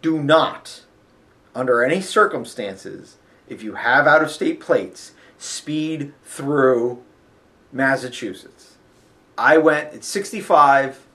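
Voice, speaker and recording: A man's voice: mid-range voice, takes it slowly, fairly bright tone. A man with a sniffly nose, chatting casually and rambling about a road trip, full of fillers. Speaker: vlogger. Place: hotel.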